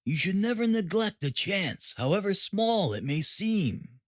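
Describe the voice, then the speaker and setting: A man plays a grave gentleman sleuth in a storybook reading. He is courteous and sententious, his pitch raised and varied in a dry, close recording. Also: sing-song, a little slow, no echo.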